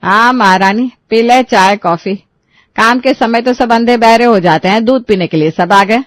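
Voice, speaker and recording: A woman's voice: a little high, moderate pace, no room sound, clean studio sound, close to an everyday voice. A woman voicing an indignant elderly mother-in-law in a cartoon story, scolding sharply and dramatically. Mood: sarcastic grumbling.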